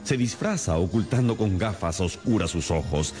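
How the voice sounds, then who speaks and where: An actor playing a shrewd, stern detective in a radio drama, speaking in a deliberate, theatrical, expository way. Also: male voice, sits low, expressive, music in the background. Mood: stern, accusing.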